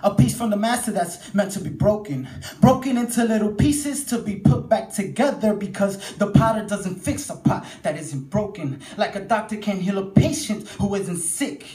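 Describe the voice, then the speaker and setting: A high-pitched male voice delivers rhyming spoken word with emphatic rhythm. The sound is roomy and live, with music playing behind him in a church. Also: normal speed, warm tone. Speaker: spoken word poet at a church youth service. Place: church hall during a live service.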